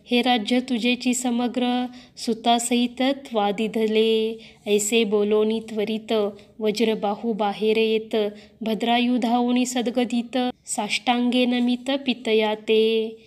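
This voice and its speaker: A woman recites devotional poetry line by line in a slightly high voice. She keeps the melody nearly flat and the tempo slow, so the lines come across with a calm, even weight. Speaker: reader of devotional verse. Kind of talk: measured recitation of religious verse.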